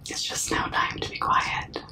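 A young woman doing a comforting ASMR roleplay in a breathy, unvoiced whisper, hushing and soothing up close to the mic. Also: recorded from a distance. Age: young adult. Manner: slow, hushing, soothing, repetitive. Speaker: ASMR performer. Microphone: close mic, very near the camera.

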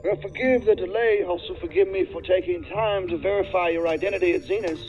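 Chatty corporate contact: polite man, high-pitched, tinny phone-line sound. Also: fairly quick, darkish tone, soft background music. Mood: courteous, chatty. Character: courteous, talkative male corporate research contact. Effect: phone or intercom filter. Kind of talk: naturalistic audio drama acting.

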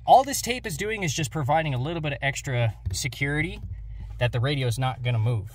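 A man's voice: mid-range voice, animated, fast. A young American man giving instructional how-to narration as host of a DIY car channel. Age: young adult. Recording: clear background noise, clicks and jangling.

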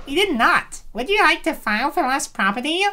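A woman's voice: high-pitched, lively intonation, fairly quick, bright, forceful tone. A woman voicing flustered young women in a comic dub, exaggerated and stammering. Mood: nervous, apologetic. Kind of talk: cartoonish comic dub acting.

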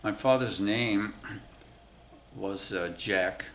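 Very deep-voiced man in his nineties. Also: a bit bright, reverberant, a little hiss or hum. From Cuba. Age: 90s. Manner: slow, halting recollection with many pauses. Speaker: interviewee, WWII navy veteran. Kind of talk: oral history interview. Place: museum room, indoors.

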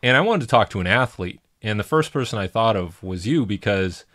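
A man's voice: lowish voice, lively intonation, fairly quick, fairly bright tone, no echo. A middle-aged man hosting an interview podcast in a small studio, talking eagerly and rambling with many restarts. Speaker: podcast host. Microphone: desk mic on boom arm.

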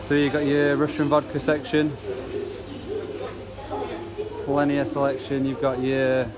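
A man's voice: medium pitch, brisk. A man casually narrating a walk through a supermarket, chatting about products and prices as he films. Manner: relaxed, chatty, pointing out prices. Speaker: vlogger touring a supermarket. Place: large supermarket indoors, off camera. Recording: loud store air-conditioning hum behind.

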